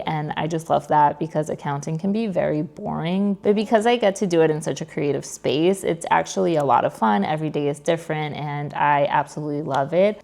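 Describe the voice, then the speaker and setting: A woman's voice: deep voice, gravelly, a little mellow. A woman, chatting casually to camera as a vlogger sharing personal updates. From New York. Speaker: vlogger, content creator.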